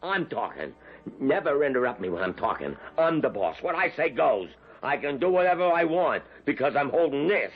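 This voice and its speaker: An actor playing a menacing, domineering young armed robber who rants and repeats himself, in old-time American radio drama. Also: male voice, mid-range voice, big pitch swings, moderate pace. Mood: enraged, ranting. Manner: menacing, domineering, repetitive ranting. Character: unhinged young gunman drunk on power, robbing a shop. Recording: dull lo-fi vintage radio, music behind.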